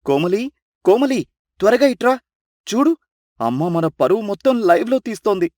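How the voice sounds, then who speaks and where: An exasperated village family man acted by a man with a fairly high voice in a dry, close recording. He scolds reproachfully, embarrassed, as in an animated moral tale. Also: normal speed, no echo. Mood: alarmed. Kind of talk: animated moral story acting.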